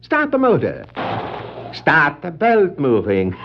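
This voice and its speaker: Cackling clown supervillain, wildly swooping voice. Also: male voice, sits high, huge pitch swings, full character voice. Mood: gloating. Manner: gloating, exclamatory, drawn-out delivery. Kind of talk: 1960s children's record radio-play acting. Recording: dull, noisy 1960s vinyl record dramatization.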